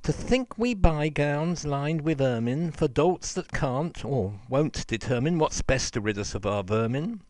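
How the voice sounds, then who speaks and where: A man's voice: a little high, expressive, unhurried, few pauses, a little mellow, no room sound. A man voicing angry townspeople, indignant and emphatic as they scold their leaders in a storybook verse.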